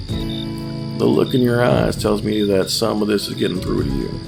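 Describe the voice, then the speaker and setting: An actor playing an older small-town policeman in an audio drama, folksy, deliberate and patronizing, with quiet menace. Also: lowish voice, vocal fry, music in the background. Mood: patient, patronizing. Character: menacing old small-town cop, folksy, coldly patient killer. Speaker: police officer character.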